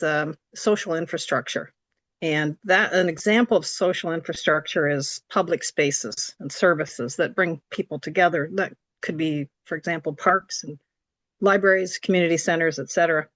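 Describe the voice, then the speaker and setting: A woman with a scratchy, slightly hoarse voice giving an informal explanatory presentation, polite and somewhat hesitant. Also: lowish voice, normal speed, fairly bright tone, no room sound. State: hoarse from allergies. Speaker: report coordinator, presenter. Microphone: video call.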